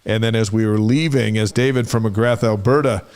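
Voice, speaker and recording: A man's voice: lowish voice, fairly even intonation, moderate pace, darkish tone. A middle-aged man co-hosting a sports talk show in casual banter, reading out short items and telling stories in a chatty way.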